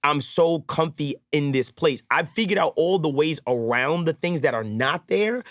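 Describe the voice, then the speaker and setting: A man with a New York American accent talking casually as a podcast guest, in a slangy, animated storytelling manner. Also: fairly high pitch, moderate pace, no room sound, clean studio sound. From Brooklyn, New York, USA. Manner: animated storyteller, slangy, acts out quoted remarks. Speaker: podcast guest, mixing engineer and content creator. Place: studio.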